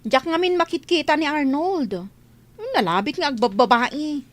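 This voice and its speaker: A woman's voice: high-pitched, moderate pace, dry recording, natural voice. A woman with an Ilocano accent playing a nosy, sarcastic village gossip in a radio comedy-drama, taunting and exclamatory. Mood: prying.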